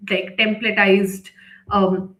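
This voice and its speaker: A woman's voice: medium pitch, little pitch movement, slow pace, a bit bright. A woman instructor giving a live, unscripted online lesson, explaining step by step with repeated emphasis and frequent check-in tags. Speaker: writing workshop instructor. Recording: echoey, roomy sound.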